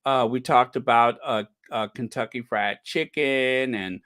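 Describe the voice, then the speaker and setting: A man hosting a casual livestream, chatting in a playful, theatrical, joking way with halting, drawn-out phrasing. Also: medium pitch, fairly bright tone, clear, resonant tone, dry recording, studio quality. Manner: playful, joking, mock-indignant theatrical delivery. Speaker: livestream host.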